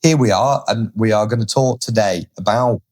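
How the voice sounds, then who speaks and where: A man, croaky from a cold, co-hosting a casual chat show with a wry, teasing manner. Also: fairly low pitch, normal speed, a little mellow. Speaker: podcast co-host.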